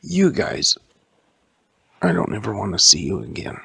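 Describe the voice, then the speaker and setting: A man's voice: fairly low pitch, big pitch swings, a little slow, vocal fry, bright, forceful tone, slightly rough, no echo, heavily acted. A man voicing a grumpy, unimpressed monster animatronic bear in a scolding manner. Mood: exasperated.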